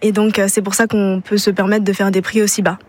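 A woman's voice: medium pitch, flat delivery, a little fast, darkish tone, no room sound. A young woman, a student charity vice-president, answering radio interview questions hesitantly, with frequent filler sounds.

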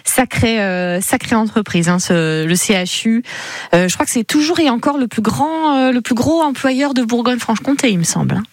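A woman with a fairly high voice hosts a radio quiz, chatting brightly with callers and tossing in quick interjections. Her voice is close and dry in a studio. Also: lively intonation, no echo. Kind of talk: lively radio phone-in game.

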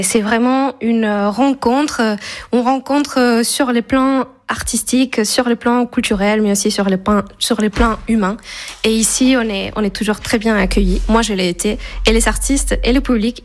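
A woman's voice: fairly high pitch, steady tone, moderate pace. A young woman from Spain with a slight Spanish accent, answering radio interview questions in a hesitant way, with many fillers and restarts. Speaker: festival production manager.

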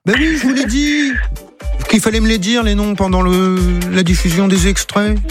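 A man's voice: high-pitched, fairly even intonation, moderate pace, over music. A man hosting a live radio phone-in game with casual, teasing, playful banter. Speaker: radio game show host. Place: radio studio, music behind.

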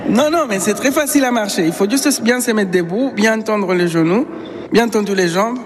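A man's voice: sits high, moderate pace, long reverb tail, a little hiss or hum. A man from Venezuela, a dance teacher who laughs easily and calls out counts during a lesson in a dance studio. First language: Spanish.

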